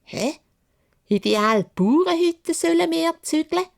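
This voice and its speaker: Woman putting on a shrill, spoiled Swiss German young lady. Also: animated, slow, creaky, full character voice. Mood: scornful. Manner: whiny, demanding, exclaiming. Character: haughty, greedy, spoiled young elder sisters.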